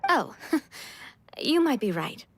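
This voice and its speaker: A woman's voice: high voice, very animated, takes it slowly, a bit bright, heavily acted. An actress playing a showy, playful young woman who loves the spotlight, in a video game.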